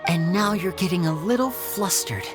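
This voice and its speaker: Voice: medium pitch, expressive, moderate pace, music in the background, natural voice. A performer voicing a suave, self-assured young male stage magician in a video game, with playful showman's patter. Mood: playful, confident.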